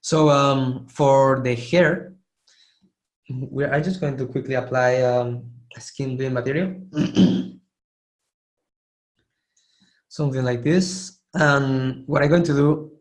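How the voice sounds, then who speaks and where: A man teaching a live online art webinar, hoarse and losing his voice, who keeps clearing his throat and gives casual, encouraging feedback with frequent restarts. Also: medium pitch, fairly even intonation, slow pace, rough recording. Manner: casual, encouraging, restarts phrases while demonstrating. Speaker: art instructor giving feedback. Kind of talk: live webinar critique of 3D sculpts.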